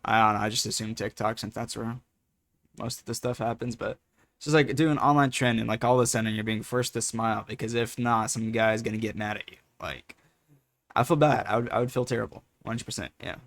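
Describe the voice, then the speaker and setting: A man's voice: fairly low pitch, steady tone, brisk, a bit bright. A young man casually reacting to a video, rambling and laid-back with many fillers.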